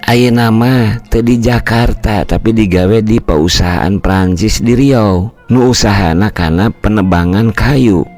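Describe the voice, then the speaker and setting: A man's voice: fairly low pitch, unhurried, a little mellow, soft background music, pitched above the performer's own voice, natural voice. An old man voicing a plain-spoken village mother.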